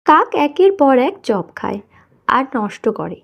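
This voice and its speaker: Female cartoon narrator: high, soft-toned delivery, scripted storytelling, slightly dull audio over music. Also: big pitch swings, slow, creaky.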